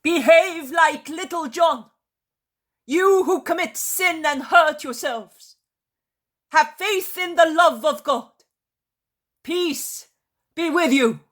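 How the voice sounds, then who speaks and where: A woman performs a commanding yet gentle male teacher from a religious story. The voice is breathy and rough-edged, with slow, deliberate delivery. Each phrase is solemn and emphatic. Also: on the high side, pitched above the performer's own voice. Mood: thundering. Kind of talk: dramatised religious story reading.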